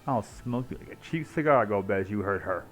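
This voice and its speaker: A man's voice: fairly low pitch, lively intonation, a little fast, fairly bright tone. A man giving casual, blunt, sweary live reactions as he streams a video game. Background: game music.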